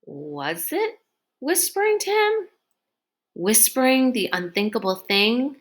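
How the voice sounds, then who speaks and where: A woman reading a children's novel aloud in an expressive storytelling style. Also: fairly high pitch, slow. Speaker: read-aloud reader. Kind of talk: expressive children's book narration.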